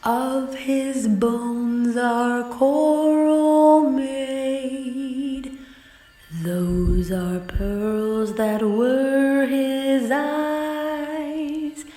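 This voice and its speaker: A woman's voice: sits high, level tone, very slow, dark tone, faint music behind. A woman playing an ethereal island spirit, singing a haunting dirge in a Shakespearean audio drama. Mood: haunting, mournful. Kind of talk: slow sung verse in audio drama. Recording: echoey room, remote recording.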